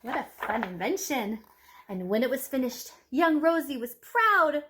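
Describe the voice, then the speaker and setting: A woman teacher reading a picture book aloud to children in an expressive, emphatic storytelling manner with dramatic hushed moments. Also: sits high, unhurried, a little hiss or hum.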